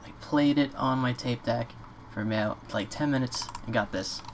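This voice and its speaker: A man's voice: medium pitch, moderate pace. Informal man, noisy computer tutorial recording. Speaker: tutorial presenter. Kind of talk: casual screen-recorded software tutorial. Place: indoors at a computer. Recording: clear background noise, keyboard clicks.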